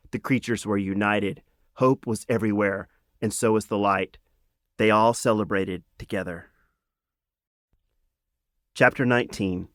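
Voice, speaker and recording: A man reading a children's fantasy audiobook as a dramatic, earnest storyteller with measured phrasing. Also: on the low side, a bit bright, no room sound, clean studio sound. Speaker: author reading own audiobook.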